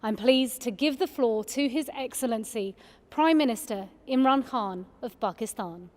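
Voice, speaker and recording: Female event host, higher-pitched voice, scripted formal presenting, long reverb around the voice. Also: normal speed, a little mellow. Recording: long reverberant hall echo.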